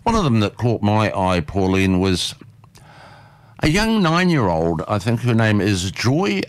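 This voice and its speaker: A man's voice: fairly low pitch, sing-song, unhurried, dry recording. A man hosting community radio from a studio, chatting casually in a folksy, rambling way. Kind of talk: casual chat, interviewing a studio guest. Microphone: close studio microphone.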